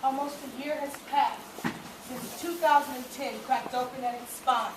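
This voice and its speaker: A woman performing a solemn dramatic monologue on stage, deliberate and with dramatic pauses. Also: sits high, steady tone. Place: theatre stage, recorded from the audience. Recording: echoey hall from far off, faint noise.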